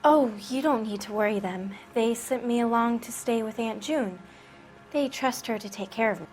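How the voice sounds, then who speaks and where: A woman's voice: fairly high pitch, moderate pace, darkish tone, soft background music, natural voice. An actress voicing a naive, frightened teenage girl who speaks in a timid, pleading way.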